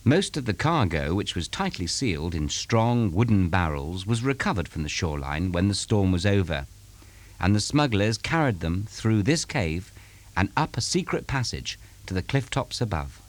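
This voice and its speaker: A man reading a scripted story aloud in a suspenseful, deliberate, dramatic storytelling manner. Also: low voice, big pitch swings. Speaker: story reader.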